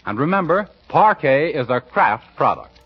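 Animated American old-time radio announcer, male. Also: fairly high pitch, normal speed. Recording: muffled vintage radio broadcast recording.

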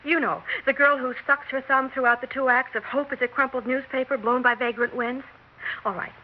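A woman's voice: high voice, fairly even intonation, a little fast, close to an everyday voice. An old radio recording, dull and lightly hissy, carries a woman acting a young would-be actress. She is earnest, persistent and talkative, stumbling a little as she pleads her case in sixties radio drama style. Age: young adult. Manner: earnest, flustered, stammering plea.

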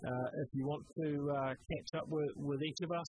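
A man's voice: on the high side, steady tone, normal speed, darkish tone, soft background music, low-quality recording. A man with a New Zealand accent hosting a casual tech podcast, talking with frequent ums and restarts. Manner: hesitant, many ums and restarts. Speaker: podcast host.

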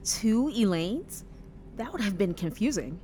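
An actress playing a quirky, paranoid, chatty young bus passenger in an audio drama, talking earnestly and matter-of-factly about odd things. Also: female voice, big pitch swings, unhurried, warm tone. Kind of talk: audio drama acting, conversational. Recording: faint background noise with rumble.

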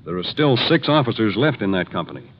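A man playing an earnest, worried insurance executive in a 1950s radio drama, speaking gravely and emphatically. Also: on the low side, sing-song, brisk. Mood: grave, urgent. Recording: dull, faintly noisy 1950s radio recording.

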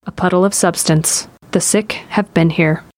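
Woman narrator, lower register, for scripted audio drama storytelling. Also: fairly even intonation, moderate pace, darkish tone, rough recording.

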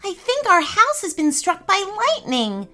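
A woman voicing a protective, often exasperated young girl, a big sister, in an expressive, exclaiming way for a children's story. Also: sits high, takes it slowly, pitched above the performer's own voice, heavily acted. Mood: dismayed.

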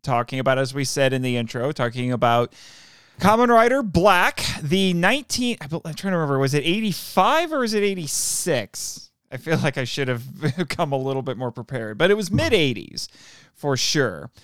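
A male podcast host talks in a relaxed, chatty way, laughing often and stopping to correct his own slips. His voice sits a bit high and comes across smooth and resonant. The sound is dry and close, with no room around it. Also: normal speed, no echo. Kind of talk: casual podcast chat.

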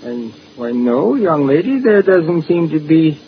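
A man's voice: a little high, big pitch swings, a little slow. A man playing a kindly older clockmaker and father in a vintage radio drama, musing and hesitant as he talks. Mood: genial. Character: kindly elderly father, a clockmaker and shop owner. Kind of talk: 1940s radio drama acting. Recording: muffled, hissy old radio recording.

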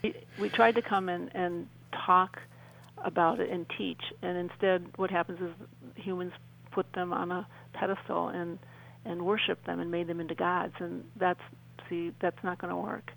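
Creaky-voiced middle-aged woman, even intonation. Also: fairly low pitch, moderate pace, poor audio. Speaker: interview guest. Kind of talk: earnest explanatory radio interview.

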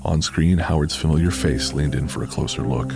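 A man narrating a scripted horror audio drama in a grave, deliberate, gravelly storytelling manner. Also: a bass voice. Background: music.

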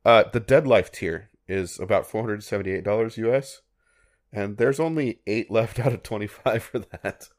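Male co-host, lowish voice, relaxed and amused, chatty delivery. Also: normal speed. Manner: easygoing, amused. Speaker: podcast co-host. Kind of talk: casual chat.

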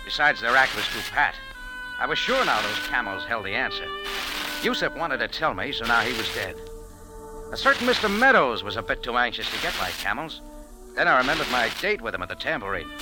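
A man's voice: mid-range voice, very animated, fairly quick, natural voice, rough recording. A male actor playing a sardonic, suspicious American cafe owner with clipped delivery in a 1940s radio drama. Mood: wry narrating. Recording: old radio recording with music.

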